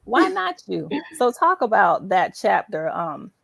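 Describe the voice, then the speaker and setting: A middle-aged woman hosting a conversational interview in an animated, encouraging and emphatic way. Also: medium pitch, measured pace, vocal fry, no echo, studio quality. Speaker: show host.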